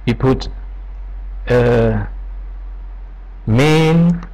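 A man presenting an unscripted software tutorial, explaining step by step in short, halting phrases. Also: mid-range voice, rough recording. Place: voice-over on a screen recording.

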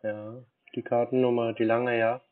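A man baiting a phone scammer, unhurried and playing dumb, answering in short replies. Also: medium pitch, level tone. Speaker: scam baiter. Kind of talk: phone call. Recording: tinny phone line.

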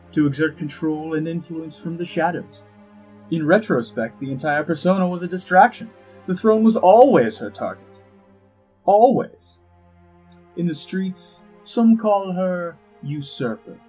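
A man playing a suave, haughty elf diplomat in a fantasy audio drama, with measured, arch, dryly witty delivery. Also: fairly high pitch, darkish tone, lightly acted, poor audio. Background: background music.

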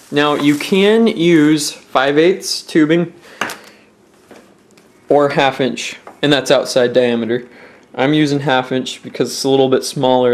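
A man's voice: mid-range voice, a little hiss or hum. A young man giving a casual, slightly hesitant how-to explanation, thinking aloud, recorded indoors at home on a close handheld camera. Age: young adult. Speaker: DIY tutorial presenter. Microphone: handheld camera at close range.

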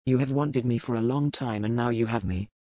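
An actor playing a roguish, dangerous aristocratic pirate lord in a historical romance audiobook, speaking in a formal, measured, sardonic way. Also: male voice, mid-range voice, warm tone, dry recording, studio quality, close to an everyday voice. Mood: mocking menace.

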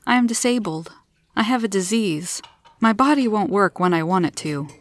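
A woman's voice: medium pitch, animated, moderate pace, no room sound, natural voice. A woman voicing a friendly, candid, easily tired woman with an earnest, emphatic manner in a storybook. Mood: candid, upbeat. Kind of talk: storybook character voice.

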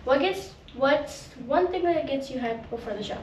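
A woman's voice: high-pitched, expressive, moderate pace, slight background noise. A young woman asking prepared questions as an interviewer in a vlog. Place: small backstage dressing room. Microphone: camera across the room. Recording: roomy, distant camera mic, background music.

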